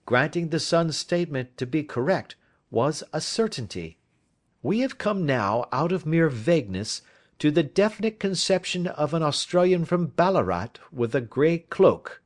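A man's voice: on the high side, very animated, normal speed, pitched above the performer's own voice. A man reading the part of a brilliant Victorian detective, who lectures in a precise, emphatic way. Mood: lecturing.

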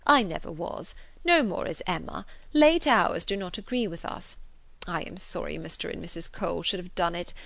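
A woman voicing a fretful elderly gentleman, an anxious invalid father who fusses and pleads gently, in an audiobook. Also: lowish voice, animated, moderate pace, gravelly, no echo.

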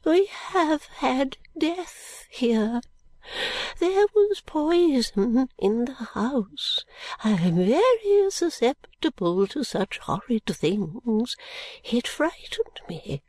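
Fluttery eccentric old lady, high and slow, genteel and nervous, as a woman reader puts her on. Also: animated, a little mellow, no room sound, full character voice. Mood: hushed confiding. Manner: high, slow, stately and confiding, drops to whispers.

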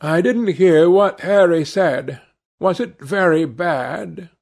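A man acts out a melancholy young gentleman from a literary audiobook. He is self-absorbed and anxious, speaking with earnest, plaintive politeness in a raised voice with some creak. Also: sits high, slow pace, voice pushed up, heavily acted. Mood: strained politeness.

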